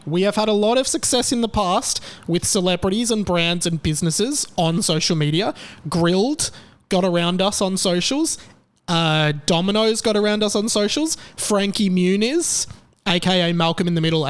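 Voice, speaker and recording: Chatty Australian podcast host. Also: male voice, high-pitched. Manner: chatty, rambling, with fillers. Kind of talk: casual solo podcast monologue.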